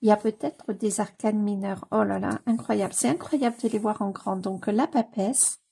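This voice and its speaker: A woman with a cold gives casual, gushing commentary as she leafs through a book, often pausing on fillers and repeating her delight. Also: mid-range voice, steady tone, creaky, mellow, breathy edge. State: has a cold. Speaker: coloring book YouTuber.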